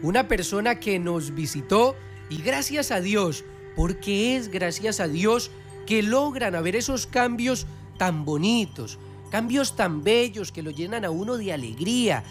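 High-pitched, slow-paced man pitching on radio. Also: lively intonation. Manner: preaching, slow repeated recital of phone numbers. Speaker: spiritual healer hosting a radio program. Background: music.

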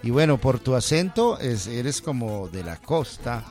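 A man, a priest, gently interviewing a guest about her faith and reading the day's Gospel solemnly. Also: medium pitch, big pitch swings, unhurried, over music. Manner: gentle, affirming, reads scripture solemnly. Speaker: priest hosting.

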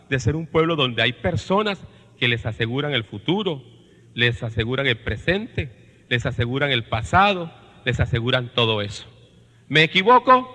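A man's voice: on the high side, pushed and bright. A man with a Colombian Caribbean accent preaching as a priest to his congregation, emphatic, repeating phrases and putting questions to listeners. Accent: Colombian Caribbean Spanish. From Colombia's Caribbean coast. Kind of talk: sermon-like talk to a congregation. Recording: long reverberation of a large hall.

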